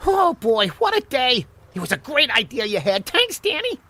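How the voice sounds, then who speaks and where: A man's voice: extremely high pitch, huge pitch swings, moderate pace, pushed and bright, a little hiss or hum, exaggerated voice. A man voicing a small, friendly, earnest water molecule in a radio play, curious and a little weary.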